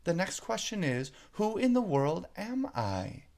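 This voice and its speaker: A man's voice: high voice, very animated, a little slow, darkish tone, pitched above the performer's own voice, heavily acted. A man voicing a bewildered, dramatic young girl from a children's storybook, musing aloud and exclaiming to herself. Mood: puzzled.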